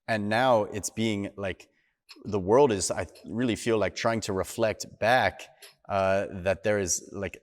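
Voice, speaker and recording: Man, fairly low pitch, measured earnest conversational delivery, pauses mid-thought, reverberant hall sound. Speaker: host. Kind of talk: reflective conversational interview.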